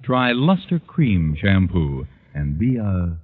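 Low, husky American radio pitchman. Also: very animated, slow pace, soft background music. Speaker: commercial announcer. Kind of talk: 1940s radio advertisement read. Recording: muffled old radio recording.

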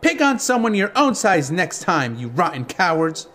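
A man's voice: high voice, animated, unhurried, a bit bright, voice pushed up. A man voicing a tiny, feisty and caring female fairy in a story, earnest and spirited. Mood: indignant.

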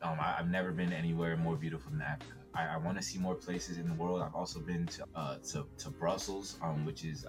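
A young man from the US East Coast, a guest on a podcast, talking in a relaxed, reflective way and laughing often. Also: sits low, quick pace, hardly any pauses, over music. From United States, East Coast. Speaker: podcast guest, TikTok creator. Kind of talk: casual podcast chat.

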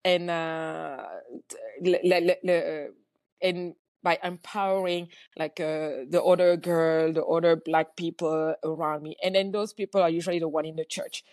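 A middle-aged woman from Cameroon, a guest answering in a halting way with many fillers and restarts. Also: low-pitched, level tone, darkish tone, no room sound, clean studio sound. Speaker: guest entrepreneur. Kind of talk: conversational interview answer.

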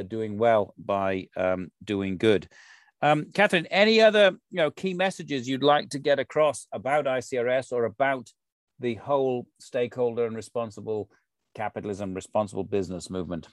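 A man's voice: on the low side, no room sound, studio quality. A man hosting an interview, genial and with frequent fillers. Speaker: podcast host. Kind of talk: conversational interview.

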